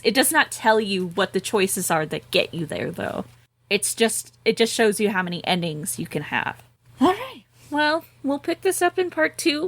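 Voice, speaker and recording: A woman's voice: medium pitch, dry recording. A woman co-hosting a podcast who reads a gamebook aloud and chats casually, laughing often and swearing freely. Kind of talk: casual chat and reading aloud.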